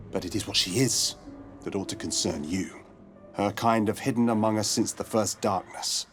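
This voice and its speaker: A grave, wary male monster hunter in a fantasy game. His low voice moves expressively through formal, courteous lines with an ominous weight. Soft music sits behind the words. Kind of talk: dramatic video game dialogue acting.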